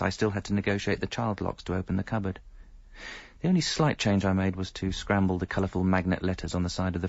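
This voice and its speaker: A man's voice: sits low, gravelly, darkish tone, no room sound, lo-fi sound. An actor in his thirties with an English accent playing a wry, self-pitying separated father in a radio comedy drama. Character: separated young father, wry, lonely and exasperated. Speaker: lead character and narrator. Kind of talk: radio comedy drama, wry first-person narration and dialogue.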